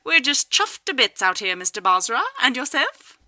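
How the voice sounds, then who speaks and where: A pleasant female secretary with a British accent answers with a bright, lilting, sing-song politeness. A man puts her on in a dry audiobook read. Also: extremely high pitch, big pitch swings, normal speed, no room sound, voice pushed up, heavily acted. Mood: polite. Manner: polite and chirpy.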